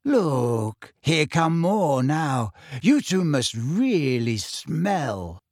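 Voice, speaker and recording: A dreamy teenage girl in a storybook reading, acted out by a man. She draws her words out slowly with airy, dignified calm. The recording is dry and close. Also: a little high, no room sound, exaggerated voice. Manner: slow, drawn-out, dignified. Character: dreamy, serene teenage girl, airily unbothered. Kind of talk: audiobook character voice.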